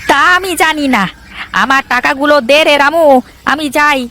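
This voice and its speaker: Man acting a high-pitched, nagging village shopkeeper. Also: fairly even intonation, moderate pace, pushed and bright, slight background noise, heavily acted. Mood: nagging, demanding. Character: scolding village fish-feed shopkeeper, pushy man demanding money. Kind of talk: cartoon voice acting.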